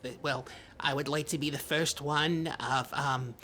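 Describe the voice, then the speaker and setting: A man's voice: a little high, fairly even intonation, normal speed, pushed and bright, slight background noise. A man playing a timid, childlike small-town podcast host who rambles and stammers in improvised comedy banter. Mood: earnest, halting.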